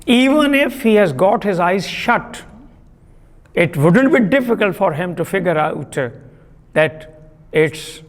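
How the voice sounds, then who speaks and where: A man giving a classroom lecture on literary theory, deliberate and repetitive, pausing with fillers. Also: sits high, expressive, long reverb tail. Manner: deliberate, repeats key terms, frequent uh fillers.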